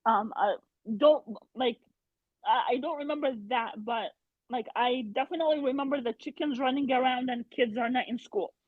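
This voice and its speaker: A woman's voice: high-pitched, normal speed, no room sound. A woman from Iraq, a podcast guest, talking casually with many fillers and rising frustration, heard over a remote call. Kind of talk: casual conversation. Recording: tinny phone-like line, dry.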